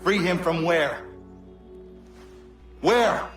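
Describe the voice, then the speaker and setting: An actor playing a grim, resolute avenging warrior in a video game, speaking in a stern, measured, accusing way. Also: male voice, on the high side, fairly bright tone. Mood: urgent demand. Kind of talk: dramatic video game cutscene acting. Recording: roomy echo with music underneath.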